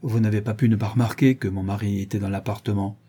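Stern middle-aged woman interrogator, read by a man, husky and mellow, coldly accusing. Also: lowish voice, fairly even intonation, quick pace. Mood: probing. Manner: cold, probing, relentlessly accusing.

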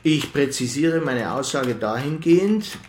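An actor playing an officious, indignant senior police official, insistent and bureaucratic, in a radio crime drama. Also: male voice, mid-range voice, expressive, a little slow, roomy sound, slight background noise, lightly acted. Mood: officious insistence.

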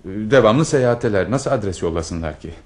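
A man's voice: fairly low pitch, lively intonation, lightly acted. A man acting in a radio play as a lovesick married stationmaster, awkward and hesitant. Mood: nervously brisk. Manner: hesitant, halting with women, dreamy when alone. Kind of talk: Turkish radio theatre acting.

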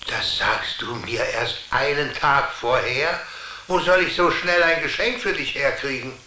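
A man's voice: medium pitch, measured pace, sharp tone. A man acting an odd, chivalrous secret friend in a German radio play, with a playful, theatrical delivery. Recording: roomy, hissy sound.

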